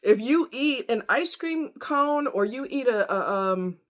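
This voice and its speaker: A woman's voice: fairly high pitch, lively intonation, unhurried. A woman talking casually in a rambling opinion vlog, conversational and informal, with slang and rhetorical questions. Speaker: vlogger.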